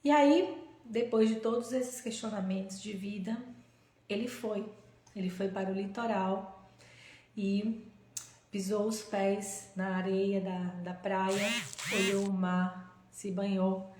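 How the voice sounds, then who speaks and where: A woman, a holistic therapist, hosting a live stream in a casual, gentle way and telling a story, often checking in with her listeners. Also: medium pitch, fairly even intonation, slow. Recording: echoey room.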